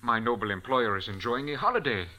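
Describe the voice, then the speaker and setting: Oily, formal henchman-secretary, piercing bright voice, lively swings, vintage radio drama. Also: medium pitch, quick pace, rough recording. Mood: indignant. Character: smooth, insinuating, formally polite Italian count's aide. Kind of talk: 1940s radio drama acting.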